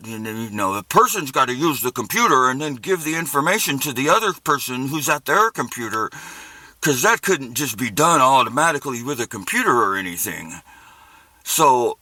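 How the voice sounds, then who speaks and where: A man with a bright, sharp-edged voice at an average pitch talks off the cuff. He rambles like a vlogger, laying on a mock-earnest, sarcastic tone as he goes. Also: no room sound.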